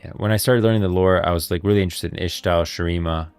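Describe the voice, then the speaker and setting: A man's voice: low-pitched, steady tone, quick pace, a little mellow, clear, resonant tone, no room sound, soft background music. A man hosting a livestream, chatting casually and thinking aloud as he explains things to viewers in a conversational way. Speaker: livestream host.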